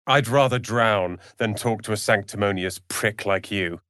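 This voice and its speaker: An actor playing a proud, irritable young male wizard apprentice in a fantasy video game, haughty, theatrical and sharp-tongued. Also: on the low side, moderate pace, dry recording, clean studio sound. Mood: bitter.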